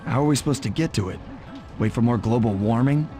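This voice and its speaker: A male actor voices a young, self-assured thief hero from an animated game. His voice sits at an ordinary male pitch, with a dry, sarcastic delivery. Music plays behind him. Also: quick pace, close to an everyday voice. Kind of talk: animated video game character acting.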